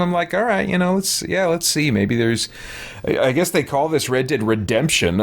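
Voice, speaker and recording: A man giving casual, rambling gameplay commentary as a streamer, thinking aloud and explaining himself to viewers. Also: medium pitch. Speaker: video game streamer.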